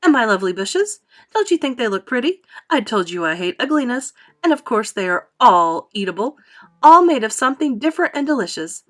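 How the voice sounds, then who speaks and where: Woman as eccentric male chocolate maker, boastful, exuberant, highly animated, theatrical storybook delivery. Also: fairly quick. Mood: delighted, coaxing. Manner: theatrical, emphatic, gushing with repetition.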